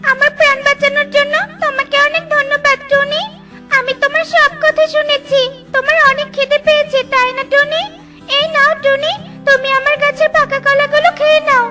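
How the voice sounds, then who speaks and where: A woman voicing a kind, grateful magical banana tree in a children's cartoon, speaking in a gentle, reassuring way. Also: very high voice, little pitch movement, a little fast, music in the background, pitched above the performer's own voice. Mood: grateful, kindly. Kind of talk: children's cartoon story acting.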